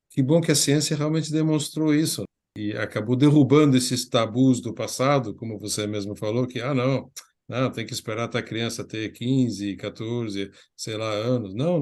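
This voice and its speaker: A man moderating a panel talk at a middle pitch. He explains his points with fillers and hands the floor to other speakers. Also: darkish tone. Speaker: panel host.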